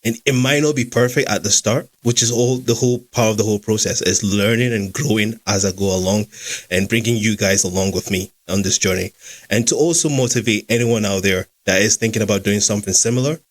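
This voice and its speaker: A young Scottish man hosts a podcast in an easygoing, chatty way. His voice sits on the low side, and he fills gaps with small fillers. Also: fairly quick. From Scotland. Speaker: podcast host and founder. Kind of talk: casual chat.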